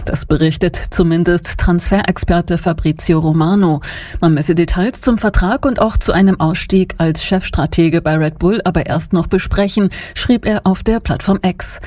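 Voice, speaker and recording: A woman reading the radio news in an even, measured way. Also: fairly low pitch, no echo. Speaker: newsreader. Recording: dry studio sound.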